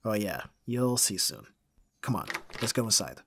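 A man's voice: lowish voice, big pitch swings, normal speed, vocal fry, fairly bright tone, a little hiss or hum, full character voice. A man playing a caring but easily exasperated young roommate in an ASMR roleplay audio drama, casual and dryly bantering. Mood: easygoing.

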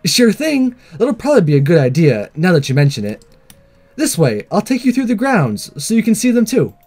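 A man's voice: high voice, very animated, normal speed, a little mellow, faint music behind. A man voicing a perky, forward teenage schoolgirl in a visual novel game, breezy and teasing in a girlish way. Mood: friendly, upbeat.

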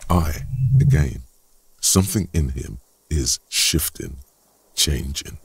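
A brooding inner voice narrating a detective video game. It is extremely deep and heavily husky, with measured, ominous delivery in scripted second-person lines. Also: male voice, lively intonation, no echo, full character voice. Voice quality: gravelly, husky.

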